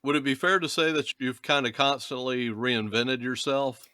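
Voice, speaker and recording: Male interview host, formal and polished; tense, bright tone, dead-dry recording. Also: medium pitch, moderate pace, clear, resonant tone, no room sound. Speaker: show host. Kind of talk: interview hosting.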